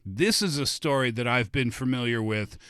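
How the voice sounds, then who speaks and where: A man's voice: mid-range voice, normal speed, a bit bright, clear, resonant tone, no echo. Man hosting in a dry studio. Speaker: talk show host. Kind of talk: casual studio banter.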